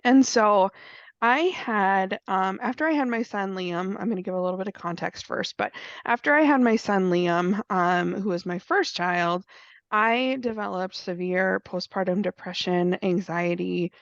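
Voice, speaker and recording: A woman with a cold tells her story in an earnest, halting way, with a gravelly edge to her voice. Her words come through a dull, dry video call line. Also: medium pitch, dry recording. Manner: earnest, many fillers. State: has a cold, clears throat. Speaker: guest speaker sharing lived experience. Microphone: computer mic on a video call.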